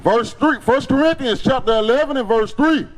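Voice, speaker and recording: A man reading Bible verses aloud in a declamatory, deliberate, drawn-out way as a preacher's reader. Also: very high-pitched.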